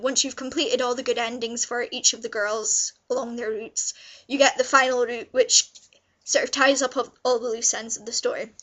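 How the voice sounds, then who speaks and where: Young female vlogger, notably high and bright voice, informal rambling enthusiasm for a casual review. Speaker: vlogger reviewing visual novels. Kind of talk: casual review chat to camera.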